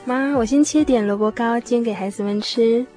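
A woman's voice: a little high, normal speed, warm tone, soft background music, close to an everyday voice. An actress playing a helpful, dutiful young mother in a radio drama. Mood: helpful, busy.

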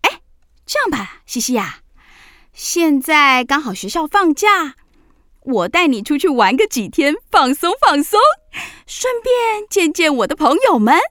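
Carefree witch aunt: bright, drawn-out, teasing and doting delivery for a children's audio play. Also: sits high, sing-song, dry recording, studio quality, full character voice. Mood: breezy, reassuring. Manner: exaggerated, teasing. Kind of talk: children's radio play acting.

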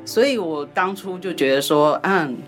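A woman's voice: on the low side, expressive, moderate pace, over music. A woman chatting casually as an interview guest, a scriptwriter who often repeats her short phrases. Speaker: guest, radio drama scriptwriter.

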